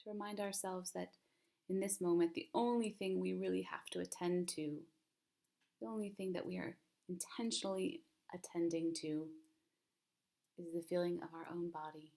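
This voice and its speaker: A woman with a slightly low voice leads a guided meditation. She speaks in a calm, soothing manner, leaving long, unhurried pauses between gentle instructions, as a mindfulness teacher would. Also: vocal fry.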